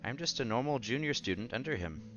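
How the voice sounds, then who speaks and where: A man's voice: medium pitch, lively intonation, fast, fairly bright tone, muffled recording, soft background music, lightly acted. A man playing a modest, timid young science student with a hesitant, stammering delivery. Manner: hesitant, stammering, self-effacing.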